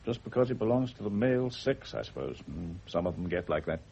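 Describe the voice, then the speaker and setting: An actor in a radio drama playing a grave, world-weary family doctor, measured, formal and authoritative. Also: male voice, low voice, sing-song, vocal fry, warm tone, husky, exaggerated voice. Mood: resigned. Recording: muffled, noisy old radio recording.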